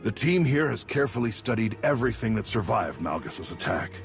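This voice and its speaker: Courteous researcher, gravelly, lively intonation, formal game dialogue, faint music. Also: male voice, mid-range voice, a little fast. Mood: courteous, concerned. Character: earnest, courteous male expedition researcher. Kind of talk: video game dialogue acting. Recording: music behind the voice.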